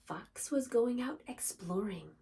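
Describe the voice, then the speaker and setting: A woman reading a picture book aloud for young children in an expressive, dramatic, deliberate storytelling manner. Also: a little high, gravelly, husky, far from the mic. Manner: animated, dramatic, deliberate phrasing for small children.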